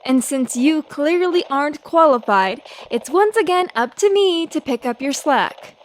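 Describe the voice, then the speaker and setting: A woman acts out a brash ace newspaperwoman in a pulp radio drama. Her voice is high, and her delivery is haughty and scornful. She speaks in cutting, superior tones. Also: lively intonation, unhurried.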